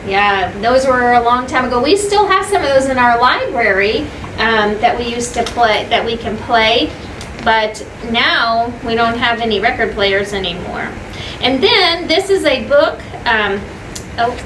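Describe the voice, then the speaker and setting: A woman teacher reading a story aloud to children, stopping for simple explanations, repeating points and putting questions to the listeners. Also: fairly high pitch. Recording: echoey room, distant mic, clear background noise.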